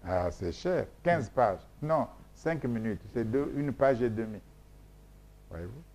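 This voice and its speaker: A man from Congo whose mother tongue is Mboshi lays out his research as an interview guest. He talks in an emphatic, lecturing, repetitive way with many hesitations. His voice is mellow and dark, at a medium pitch. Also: expressive, slow. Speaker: scholar being interviewed. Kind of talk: explanatory interview talk.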